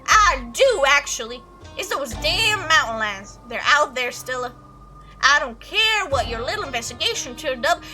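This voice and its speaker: Woman voicing a cantankerous old Southern farmer, lively pitch. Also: moderate pace, over music. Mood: exasperated, insistent. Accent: put-on Southern US. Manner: folksy, scolding, cantankerous. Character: gruff, stubborn old male country chicken farmer and hunter.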